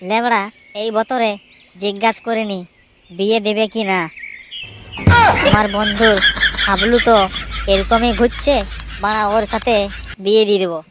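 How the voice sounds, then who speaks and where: Nosy, hapless village official acted by a man, high cartoon voice, hesitant muttering, rural West Bengal accent, noisy audio. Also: flat delivery, moderate pace, long pauses, natural voice. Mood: nosy, scheming. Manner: hesitant, meddling, muttering crude asides. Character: bumbling, nosy male village census surveyor. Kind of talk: comic cartoon voice acting.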